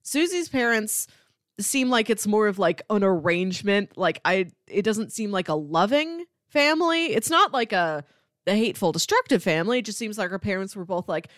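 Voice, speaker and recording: Animated woman chatting casually, dry close sound. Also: medium pitch, moderate pace, no room sound. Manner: animated, acts out characters' lines. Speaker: podcast co-host. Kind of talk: casual film discussion.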